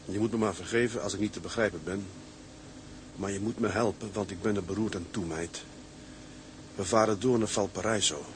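A man's voice: on the low side, steady tone, brisk, noisy background, lightly acted. An actor playing a troubled, homesick young seaman who broods and talks to himself in a radio drama. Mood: anguished, brooding. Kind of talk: Dutch radio drama monologue and dialogue.

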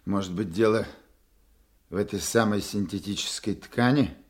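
An actor playing an authoritative older police colonel in a radio drama, explaining firmly and with a slightly wry edge. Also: male voice, fairly low pitch, moderate pace, husky. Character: authoritative veteran male police colonel, exacting boss. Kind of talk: Russian radio drama acting.

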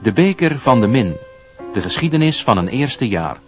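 A man's voice: medium pitch, very animated, moderate pace, music in the background. A man formally announcing the title and credits of a radio drama.

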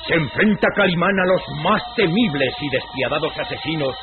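An actor playing a solemn, dramatic announcer of an old adventure radio serial. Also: male voice, a little high, animated, brisk, close to an everyday voice. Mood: dramatic, grandiose. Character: grandiose male announcer of an exotic adventure serial. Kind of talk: old Latin American radio serial narration. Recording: tinny old radio recording, loud music.

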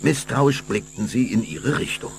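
A man's voice: medium pitch, measured pace, vocal fry, slight background noise. A man narrating a German children's vampire audio play as a suspenseful, dramatic storyteller. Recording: music behind the voice.

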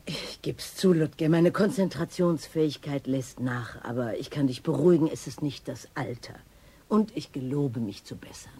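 An actress playing a distracted, lovestruck police inspector in a naturalistic radio drama, with a hesitant, dry delivery. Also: female voice, deep voice, normal speed, warm tone, husky. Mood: distracted, wry.

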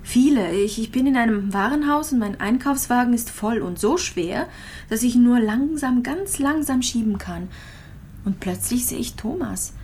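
A woman's voice: fairly high pitch, animated, moderate pace, a little mellow, slight background noise, close to an everyday voice. An actress playing a lonely, fragile female patient in a radio play, confiding hesitantly and intimately. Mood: uneasy.

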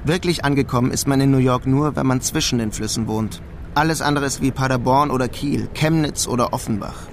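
An actor playing a wry, resigned immigrant who narrates a radio drama in a dry, laconic way. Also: male voice, mid-range voice, fairly quick, slight background noise, natural voice. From Germany. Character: wry, resigned German immigrant man in New York. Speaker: first-person narrator.

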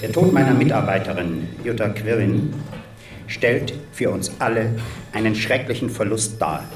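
A man's voice: fairly low pitch, expressive, normal speed, distant mic, lightly acted. An actor playing a solemn, self-important male politician giving a press conference speech in a radio drama, pompous and oratorical. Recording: echoey room, off mic, music.

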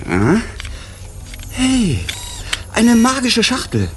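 Casting: boyish scatterbrained mogul, very animated intonation, casual, radio drama with clicky noise behind. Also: male voice, very high-pitched, takes it slowly, heavily acted. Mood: cheerful, distracted. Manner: breezy, casual. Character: breezy, boyish, scatterbrained visionary tycoon. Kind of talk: German radio play acting.

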